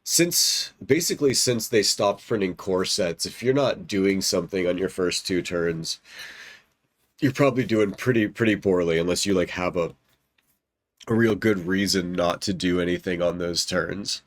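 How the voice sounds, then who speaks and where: Stuffed-up young man, low voice. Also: normal speed, dry recording. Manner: rambling, self-correcting, lots of ums and likes. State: stuffed-up nose. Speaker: gaming YouTuber. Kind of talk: casual commentary while drafting a card game.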